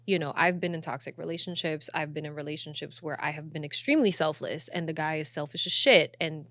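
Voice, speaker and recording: Frank woman chatting on a podcast, lowish voice, really dry close sound. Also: fast talker, fairly bright tone, dry recording. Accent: Filipino. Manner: frank, swears casually, frequent "like" and "you know". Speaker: podcast host. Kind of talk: casual opinionated podcast chat.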